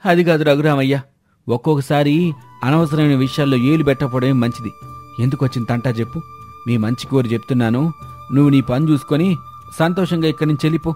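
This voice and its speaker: A man's voice: fairly high pitch, fast, darkish tone, soft background music, close to an everyday voice. A man playing a cautious village friend in a moral story, earnest and worried as he speaks. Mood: worried, cautioning. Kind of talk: dramatised moral story dialogue.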